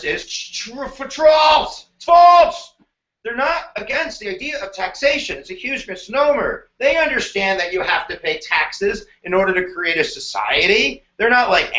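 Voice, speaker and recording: A middle-aged man teaches history live, his high voice bright and playful. He slips into slang and jokes as he goes. The sound is dull and boxy, with the echo of a bare room. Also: big pitch swings, moderate pace. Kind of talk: energetic live-stream lesson.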